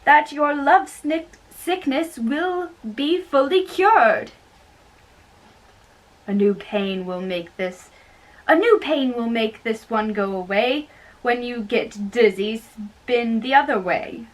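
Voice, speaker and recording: A woman doing an amateur dramatic reading of a play, haltingly, often repeating and correcting her lines. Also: on the high side, distant mic, low-quality recording.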